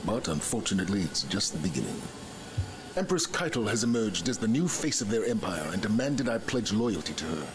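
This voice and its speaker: An actor playing a solemn military commander in a video game, giving a briefing in a measured, authoritative way. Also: male voice, fairly low pitch, very animated, background noise. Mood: grave.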